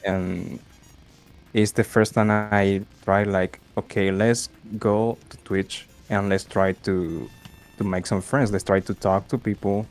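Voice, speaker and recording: A shy, soft-spoken man with a strong non-native accent, answering interview questions in simple, halting phrases. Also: on the low side, fairly even intonation. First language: not English. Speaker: guest, game content creator.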